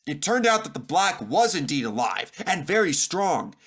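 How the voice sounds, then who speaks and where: Male storybook narrator: animated, bright delivery with dramatic, expressive phrasing. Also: fairly high pitch, normal speed, slightly rough. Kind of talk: scripted children's story narration.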